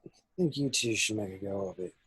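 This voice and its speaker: A fading, laid-back young slacker played by a man in a drama table read. His voice is husky and close, with a laconic, unbothered delivery. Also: fairly low pitch, fairly quick, fairly bright tone, dry recording, poor audio. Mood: resigned.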